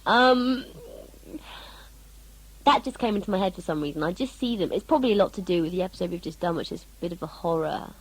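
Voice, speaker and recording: A woman's voice: fairly low pitch, quick pace, breathy edge, poor audio. A woman, an actress being interviewed casually about her work, with a jokey, self-mocking manner. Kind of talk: casual behind-the-scenes interview.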